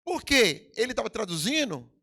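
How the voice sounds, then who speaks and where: A middle-aged Brazilian pastor preaches in a Brazilian Portuguese accent, his high voice leaping up and down across a wide range. A gravelly edge runs through his delivery as he surges into emphatic peaks and then drops low again. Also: very animated, moderate pace, bright, forceful tone. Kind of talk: sermon. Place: church hall.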